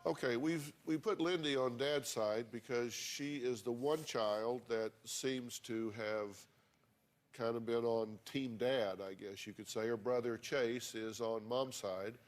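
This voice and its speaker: A man's voice: mid-range voice, fairly even intonation, a little slow. A man hosting a talk show, steering a heated family dispute with dry jokes.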